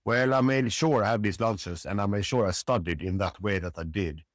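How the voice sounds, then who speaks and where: Middle-aged man, lowish voice, dry close sound, hesitant and chatty. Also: no room sound. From Sweden. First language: Swedish. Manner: halting, restarts often, frequent "yeah". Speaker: podcast guest. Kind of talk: casual interview conversation.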